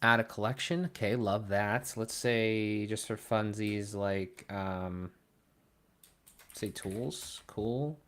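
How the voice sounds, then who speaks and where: A young man from Pittsburgh hosting a casual livestream, thinking aloud and trailing off into low mumbles. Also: slow, a bit bright. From Pittsburgh, Pennsylvania. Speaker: livestream host. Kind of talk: casual live co-stream chat. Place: small room at a desk.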